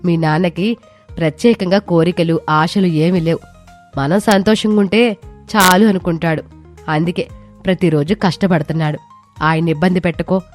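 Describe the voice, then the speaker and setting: Woman doing a gentle village mom in a moral story, voice dropped low, faint music. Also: fairly even intonation, normal speed, lightly acted. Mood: earnest, soothing. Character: gentle, understanding village mother pleading for her husband. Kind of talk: scripted story dialogue.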